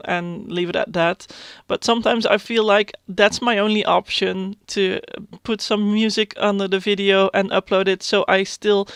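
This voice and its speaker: A woman's voice: medium pitch, clear, resonant tone, no echo, studio quality. A woman in her thirties with a Dutch accent, chatting in a casual voiceover, hesitant and self-conscious, with many fillers and restarts. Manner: self-conscious, soft-spoken. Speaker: YouTube Sims builder. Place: home.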